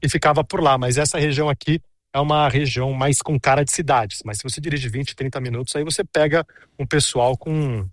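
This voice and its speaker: A man's voice: mid-range voice, fairly even intonation, a little fast, no room sound, clean studio sound. A man in his thirties giving a chatty on-location report, telling stories with many asides. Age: 30s.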